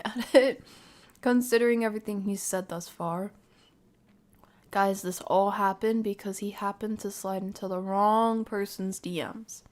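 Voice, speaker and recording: Female stream host, medium pitch, reacting live and informally, working thoughts out aloud as she goes. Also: darkish tone. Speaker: livestream host reacting to a video. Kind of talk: casual live reaction commentary.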